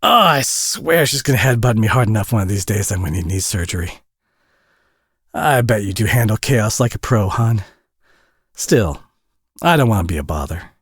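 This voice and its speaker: A man acts out a Southern American single dad in an intimate audio drama, his voice low and very lively in its rise and fall. He is folksy and bashful, tired but warm, and sprinkles his hesitant talk with sweet pet names. The sound is close and dry. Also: normal speed, pauses often, slightly rough, no echo. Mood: flustered, teasing.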